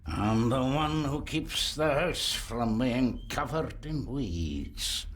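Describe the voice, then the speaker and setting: Slow, cryptic old Scottish gardener. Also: male voice, mid-range voice, lively intonation, slight background noise. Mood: gruff, cryptic. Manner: slow, ominous, folksy. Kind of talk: video game voice acting.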